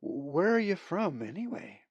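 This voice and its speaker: A man voicing a kindly, motherly female raven in a storybook, soft-spoken and sympathetic. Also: sits high, sing-song, unhurried, slightly rough, no room sound, dull audio, pitched above the performer's own voice, exaggerated voice. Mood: astonished. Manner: soothing, softly spoken.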